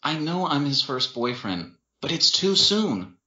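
A man portrays a gentle, conflicted young man in an audiobook. He sounds upset and hesitant, pleading at a raised pitch. The room echoes and the sound is slightly dull. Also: very animated, a little slow, heavily acted. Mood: tearful, conflicted.

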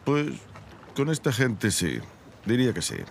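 Slow, gravelly old rogue. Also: male voice, mid-range voice, very animated, slight background noise. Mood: wary, amused. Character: wary older male rogue, a dry-humored cigar smoker. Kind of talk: Spanish dub of cinematic game drama.

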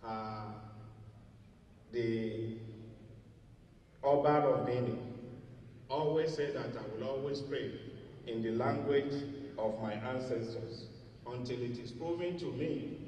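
A middle-aged man speaks slowly and deliberately, explaining like a preacher, with a mellow, dark tone. He holds a handheld mic on a large hall stage, and he sounds far off, with a long reverb. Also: mid-range voice, a little hiss or hum. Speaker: libation speaker at a gala. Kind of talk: ceremonial speech.

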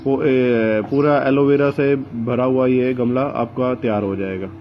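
A man hosting a gardening vlog, talking casually outdoors among potted plants and pausing often with filler sounds, recorded on a handheld phone. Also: mid-range voice, steady tone, lo-fi sound, slight background noise. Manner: hesitant, many filler sounds and pauses. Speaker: gardening channel host.

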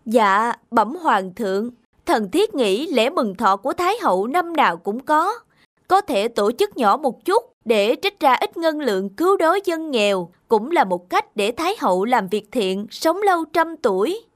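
A woman voicing an earnest, compassionate male governor in an animated folk tale, respectful and pleading. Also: on the high side, a little slow. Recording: faint music underneath.

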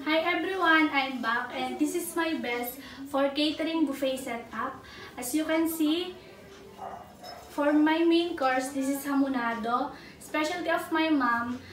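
A woman's voice: high-pitched, measured pace, a bit bright. A young woman from the Philippines with a Filipino accent, giving a rehearsed presentation as a student caterer. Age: young adult. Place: indoors in a home room. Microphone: camera a few steps away. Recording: roomy, distant mic, faint background noise.

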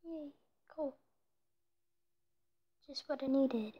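Slow-talking woman rambling over a phone game recording, lots of little whispery asides. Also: high-pitched, lo-fi sound. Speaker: let's play creator. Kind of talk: casual gameplay commentary.

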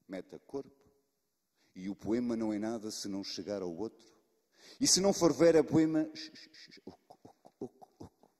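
A man performing slam poetry dramatically, repeating words and shifting between loud and hushed delivery. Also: on the low side. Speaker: slam poet. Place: live stage in a reverberant hall. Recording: reverberant hall, live stage.

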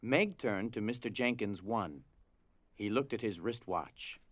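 A man's voice: on the low side, animated, measured pace, dry recording. A man narrating a scripted dramatic story. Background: music.

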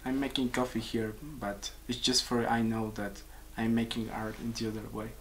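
Young peruvian man, pauses to find words, faint background hiss. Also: medium pitch, little pitch movement, rough recording. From Peru. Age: 20s. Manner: searching for words, halting restarts. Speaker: composer and musician. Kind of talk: casual interview answers. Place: small office with pictures on walls.

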